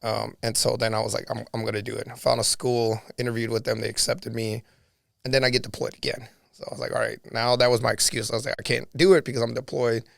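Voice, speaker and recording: Raspy-voiced man telling his story. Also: mid-range voice, flat delivery, fast talker, a bit bright, no room sound, studio quality. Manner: earnest, reflective storytelling. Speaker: podcast guest. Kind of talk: casual storytelling conversation.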